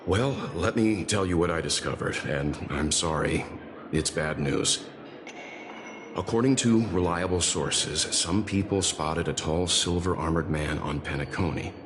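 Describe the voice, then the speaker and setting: A man voicing a composed, analytical, serious older gentleman investigator in a video game, speaking in a measured, explanatory way. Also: low-pitched, background noise. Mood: grave, analytical. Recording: loud music underneath. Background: loud background music.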